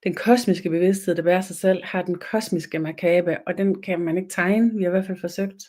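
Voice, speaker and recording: A woman with a Danish accent, whose first language is Danish, teaching a spiritual webinar in a slow, meditative way and often searching for words. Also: lowish voice, fairly even intonation, a little mellow.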